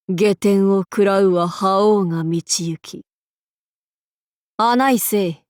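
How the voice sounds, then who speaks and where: A woman's voice: medium pitch, no room sound, clean studio sound, lightly acted. A woman voicing an imperious, haughty demon-king warlord in a mobile game, speaking in pompous, archaic lordly Japanese phrasing.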